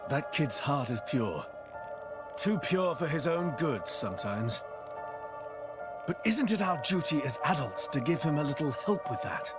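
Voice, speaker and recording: A man playing a brash, cynical prince in a game, slangy and gruffly dramatic. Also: a little high, big pitch swings, measured pace, loud music behind the voice. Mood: wry, resolved. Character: brash, cynical rogue prince with a soft spot. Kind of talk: voiced game cutscene acting.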